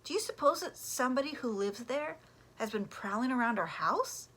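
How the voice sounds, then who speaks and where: Curious young girl, eager and wondering, acted by a female reader: lifted pitch, lively storybook tone. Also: moderate pace.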